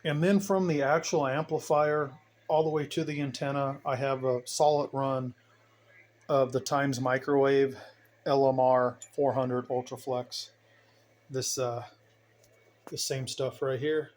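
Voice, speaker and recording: A man's voice: medium pitch, level tone, unhurried, darkish tone. A man giving a casual, unscripted tour of his hobby gear, rambling and thinking aloud in a folksy way. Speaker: hobbyist radio operator giving a station tour. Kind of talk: casual unscripted equipment walkthrough. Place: indoors at home radio bench. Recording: noticeable background noise, home video.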